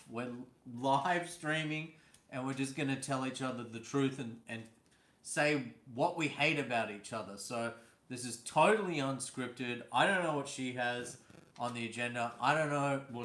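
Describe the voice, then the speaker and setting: A man's voice: mid-range voice, fairly bright tone, echoey room. A man hosting a casual live stream from home, chatty and teasing, laughing easily. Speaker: vlog host. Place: indoors at home.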